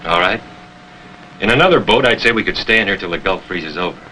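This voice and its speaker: An actor playing a concerned, cautious submarine officer, speaking earnestly and respectfully in a 1950s military drama style. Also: male voice, sits low, animated, fast, sharp tone, low-quality recording. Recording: old TV soundtrack, faint noise.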